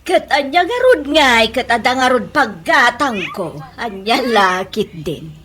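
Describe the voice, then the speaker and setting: A woman with a Filipino accent playing a stubborn, touchy middle-aged mother in a radio comedy drama, scolding with drawn-out exclamations. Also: fairly high pitch, animated, fairly bright tone, a little hiss or hum. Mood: indignant.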